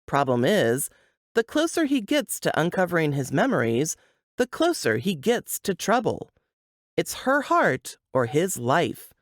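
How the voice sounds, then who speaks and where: A woman's voice: lowish voice, huge pitch swings, a little slow, no echo, studio quality. A woman reading scripted, dramatic book narration.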